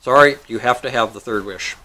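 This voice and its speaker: A man's voice: mid-range voice, a little fast, pushed and bright, lightly acted, poor audio. A man voicing a weary, formal genie in a told joke, speaking in a stately and grudging way. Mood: businesslike.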